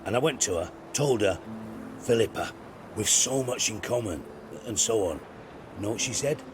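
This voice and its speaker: A man's voice: fairly low pitch, animated, normal speed, music in the background, natural voice. A man playing a cynical, crude-tongued older spymaster in a video game cutscene, blunt and theatrical in delivery. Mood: wry storytelling.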